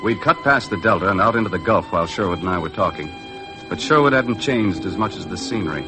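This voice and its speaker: An American actor playing a tough, blunt, world-weary charter boat skipper in 1950s radio drama style, terse and hard-boiled. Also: male voice, deep voice, animated, quick pace, over music. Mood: brooding narration. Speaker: lead actor and narrator of a radio adventure. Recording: noisy vintage 1950s radio recording.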